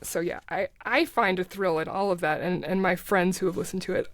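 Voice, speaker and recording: Low-pitched woman, casual and conversational. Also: steady tone, a little fast, no echo. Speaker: podcast guest, writer and advertising creative. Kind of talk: casual conversational interview, explaining.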